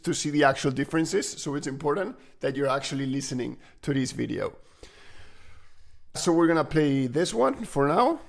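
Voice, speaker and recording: A man with a slightly high-pitched voice walks through software as a tutorial presenter. His delivery is casual and explanatory, as if thinking aloud while he demonstrates.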